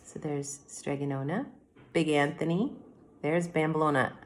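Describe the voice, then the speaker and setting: A middle-aged woman, a teacher giving a read-aloud mini lesson, explaining things directly to children in an animated way. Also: low-pitched, normal speed. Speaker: elementary teacher. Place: indoor room at home by a window.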